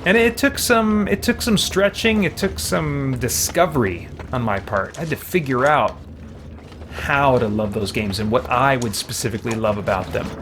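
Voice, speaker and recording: A man's voice: medium pitch, moderate pace, noisy background. A man chatting casually as he streams a game, rambling and thinking aloud as he plays. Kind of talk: casual live-stream chat and game commentary. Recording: music playing behind the voice. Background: game music.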